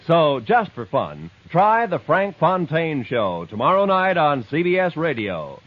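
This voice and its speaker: A man announcing in polished 1950s network radio style. His voice is fairly high and swings in pitch. The old broadcast sounds slightly dull. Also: big pitch swings, a little slow.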